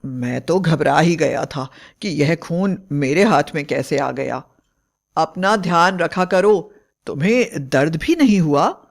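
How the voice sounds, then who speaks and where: A woman's voice: deep voice, lively intonation, slow pace, voice pushed down, exaggerated voice. A woman voicing an anxious, devoted, trusting young husband in a storybook tale, earnest and emphatic. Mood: relieved. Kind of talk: dramatized storybook acting.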